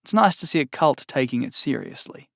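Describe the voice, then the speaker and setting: A man voicing a theatrical, sardonic eldritch demon in a drawn-out, booming delivery. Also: big pitch swings, gravelly, a bit bright, no room sound, clean studio sound. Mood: chatty.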